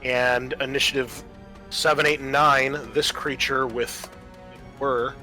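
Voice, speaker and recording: A man's voice: mid-range voice, flat delivery, takes it slowly, sharp tone, low-quality recording. A man acting as game master, narrating a horror tabletop roleplaying game in an improvised way, mixing storytelling with rules talk and dry asides. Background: background music.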